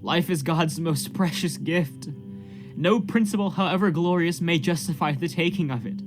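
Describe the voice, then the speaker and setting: A guilt-ridden preacher of a period radio drama, played by a man, has a slightly high voice. He speaks in fervent, formal appeals, full of anguish, with music clearly playing behind. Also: normal speed, natural voice. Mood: pleading. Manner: fervent, formal archaic speech. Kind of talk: period stage drama acting in a radio play.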